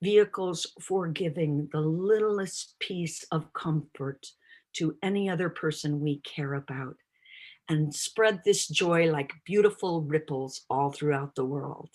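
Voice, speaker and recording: A woman in her sixties speaks slowly and unhurriedly, with a soft, breathy voice pitched a little low. She gives an earnest, rousing church talk and speaks straight to her listeners over a video call. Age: 60s. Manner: rousing, earnest, addresses the audience directly. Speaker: guest speaker.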